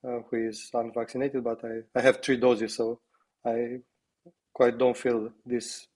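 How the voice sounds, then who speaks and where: A slightly hoarse man speaking on a video call as a company co-founder, opening a webinar presentation in a halting, modest way. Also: medium pitch, level tone, a little mellow. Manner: halting, self-deprecating.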